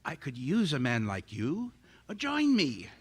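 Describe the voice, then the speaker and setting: A man's voice: fairly high pitch, measured pace, slightly rough, full character voice. A man acting as a fervent, condescending mad scientist in a radio drama, theatrical and grandiose. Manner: grandiose, theatrical, stammers when excited. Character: megalomaniac invisible male scientist, fervent and condescending.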